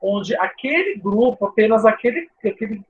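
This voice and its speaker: A Portuguese teacher from São Paulo's coast, with its sibilant S, gives a chatty live online class full of tag questions and restarts. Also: female voice, mid-range voice, expressive, normal speed, narrow, tinny audio, slight background noise. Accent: Brazilian Portuguese, coastal São Paulo. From São Paulo state coast, Brazil. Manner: informal, chatty, frequent "né?" tags and restarts.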